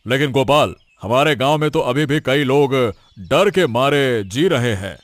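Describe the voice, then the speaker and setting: A man voicing a cautious, loyal village man who talks in a careful, measured way. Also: mid-range voice, expressive, dry recording, close to an everyday voice. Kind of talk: cartoon story voice acting.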